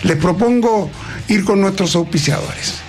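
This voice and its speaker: Chatty radio host. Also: male voice, sits high, a little slow, over loud music. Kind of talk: radio interview chat.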